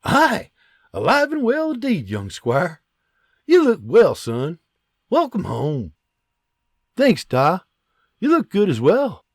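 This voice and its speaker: A man voicing a warm, joking farmer father in an audiobook, with a hearty teasing manner. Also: high voice, wildly swooping pitch, takes it slowly, long pauses, no echo, studio quality, pitched above the performer's own voice, heavily acted.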